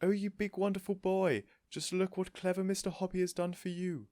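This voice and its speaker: A man voicing an excitable, adoring lady neighbour in a storybook, with a shrill, effusive, exclamatory manner. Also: normal speed, no echo, studio quality, close to an everyday voice. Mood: excited, delighted. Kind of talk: storybook character voice.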